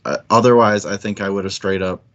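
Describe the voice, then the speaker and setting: A man's voice: on the low side, fairly even intonation, a little fast, muffled recording. A man chatting informally about books as a livestream co-host, rambling and thinking aloud as he goes. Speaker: co-host of a book club livestream. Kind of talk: casual book discussion chat.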